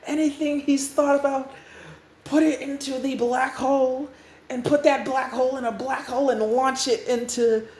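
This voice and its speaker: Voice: mid-range voice, a little slow, darkish tone, close to an everyday voice. A narrator voicing an exasperated, scornful commander who rants and stammers melodramatically in a comic story. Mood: panicked, commanding. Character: exasperated, scornful, panicky commander of a doomed universe. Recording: echoey room, faint noise.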